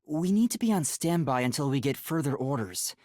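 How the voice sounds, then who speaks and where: A man voicing an anxious, earnest young male detective in a video game, with a soft, light, boyish voice and a hesitant, polite delivery. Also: mid-range voice, animated, brisk, no echo, clean studio sound, natural voice. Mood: curious, polite. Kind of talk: English video game voice acting.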